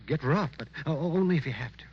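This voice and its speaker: Jittery night clerk, lively pitch. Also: male voice, fast, breathy edge. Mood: worried. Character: nervous, soft-spoken male hotel night clerk. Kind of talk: 1940s radio drama acting. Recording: muffled, noisy old radio recording.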